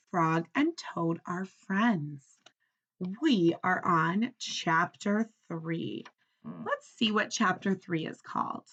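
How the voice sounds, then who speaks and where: Slow, expressive woman reading to children. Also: fairly low pitch, muffled recording. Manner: expressive, instructional, prompting with questions. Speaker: teacher reading aloud to children. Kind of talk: children's story read-aloud with questions.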